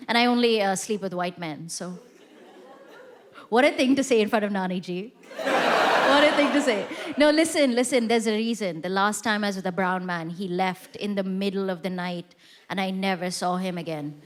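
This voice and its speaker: A woman's voice: mid-range voice, normal speed. A woman performing a stand-up comedy set on a theatre stage, telling self-mocking jokes in a chatty, conversational way. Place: theatre stage before a live audience. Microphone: stage mic.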